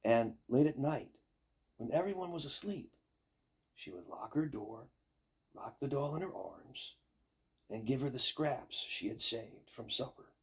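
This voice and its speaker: An older man reading a fairy tale aloud as a storyteller, with expressive, deliberate, dramatic phrasing. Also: medium pitch, slightly rough.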